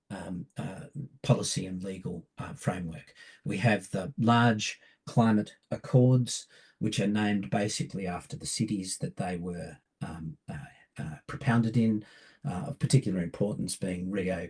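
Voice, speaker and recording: Croaky man with a cold, lecturing. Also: fairly low pitch, a little mellow. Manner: measured, hesitant with many ums. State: hoarse from a cold. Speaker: barrister giving a public talk. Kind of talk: public lecture.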